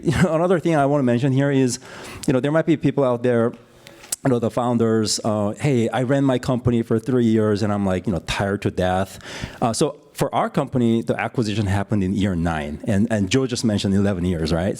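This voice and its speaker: A man from Korea speaking on a conference panel, halting with many fillers as he gives earnest advice. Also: medium pitch, dark tone. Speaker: founder on a panel. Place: conference stage.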